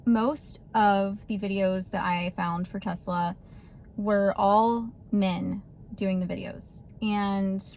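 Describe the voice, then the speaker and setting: A woman in her thirties from Texas, chatting casually to camera and laughing often between animated bursts. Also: medium pitch, slow. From Texas, USA. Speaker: vlogger.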